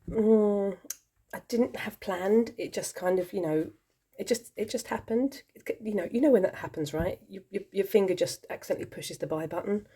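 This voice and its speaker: A woman talking to camera in a rambling, chatty way with lots of ums, her voice croaky from a cold. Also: fairly low pitch, mellow. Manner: chatty, rambling, self-deprecating, many ums. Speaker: tarot vlogger. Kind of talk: casual chat to camera.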